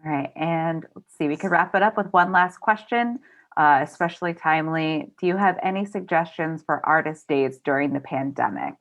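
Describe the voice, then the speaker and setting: A woman's voice: sits low, moderate pace, a bit bright. A woman moderating a bookstore's virtual author event, casual and upbeat as she reads out audience questions and wraps up. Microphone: video call.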